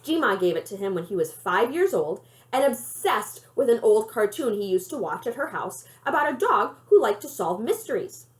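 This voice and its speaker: A woman reading a children's novel aloud in an expressive storytelling style. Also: fairly high pitch, moderate pace, hardly any pauses. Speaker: library read-aloud reader.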